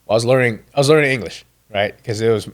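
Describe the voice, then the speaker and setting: A man's voice: medium pitch, quick pace. A man in his fifties, born in Vietnam with Vietnamese as his first language, telling a personal story earnestly as a podcast guest. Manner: earnest, with frequent restarts.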